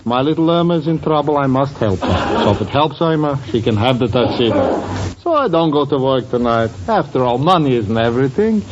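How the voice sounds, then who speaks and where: An actor playing a melodramatic, self-sacrificing professor, theatrical and mock-martyred, in a 1940s radio comedy. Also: male voice, mid-range voice, lively intonation, fairly quick, natural voice. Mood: gallant, martyred. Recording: dull, noisy 1940s radio recording.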